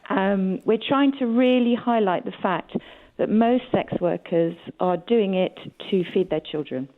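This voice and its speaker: A woman's voice: mid-range voice, measured pace, narrow, tinny audio. A woman speaking as a campaigning guest in a radio interview, stressing key words emphatically. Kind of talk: radio interview, advocacy talk.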